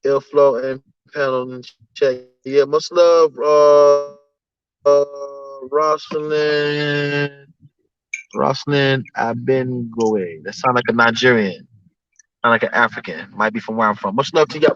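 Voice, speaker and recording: A man's voice: fairly high pitch, a bit bright, no echo, lo-fi sound. A man hosting a casual livestream, reading out names from the chat and often tagging his lines with "you know what I'm saying". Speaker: livestream host.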